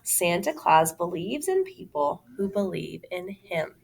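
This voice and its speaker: A woman's voice: on the low side, big pitch swings, a little slow, gravelly, full character voice, low-quality recording. A woman voicing an earnest little girl who firmly believes, in a children's storybook read-aloud.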